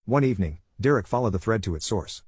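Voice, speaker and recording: Male narrator for scripted drama. Lowish pitch, brisk pace, very dry recording. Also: animated, a little mellow, slightly rough, no echo. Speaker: story narrator.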